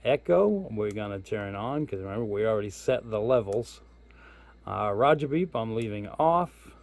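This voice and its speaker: A man's voice: on the low side, animated, moderate pace, gravelly, a little mellow. A man giving a casual hands-on product walkthrough as a hobbyist reviewer, informal and thinking aloud as he goes. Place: indoors at home.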